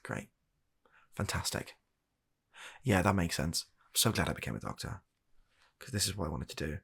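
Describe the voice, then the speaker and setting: A man acts out a weary British doctor in a comedy ASMR roleplay. His deep voice is gravelly and swings widely in pitch. He sounds reluctant and exasperated, picking his way haltingly through deadpan, soft-spoken remarks. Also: exaggerated voice. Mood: sarcastic, weary. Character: weary, reluctant, baffled male doctor humouring an absurd patient.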